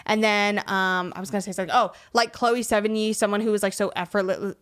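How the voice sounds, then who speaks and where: A young woman hosts a podcast in loose, slangy conversation. Her voice is clear and smooth, sitting at a middle pitch. She rambles through her thoughts, dropping "like" throughout. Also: steady tone, fast, fairly bright tone. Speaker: podcast host. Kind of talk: casual podcast chat.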